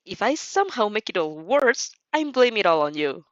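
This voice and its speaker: A woman's voice: mid-range voice, very animated, moderate pace, fairly bright tone, dry recording, lo-fi sound. A woman voicing an awkward, earnest, apologetic teenage schoolgirl in a story, with hesitant, dramatic delivery. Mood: sulky threat.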